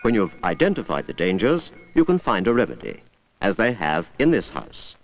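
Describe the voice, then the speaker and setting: A British man narrating a 1980s public information film in a measured, instructive way, as an earnest safety narrator. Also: lowish voice, very animated. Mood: measured, serious. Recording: noisy old 1980s film soundtrack.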